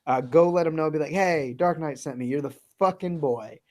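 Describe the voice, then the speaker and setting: A man's voice: on the high side, moderate pace, a little mellow. A man hosting a casual comics chat, talking with enthusiasm, swearing now and then and leaning on fillers. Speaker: podcast host.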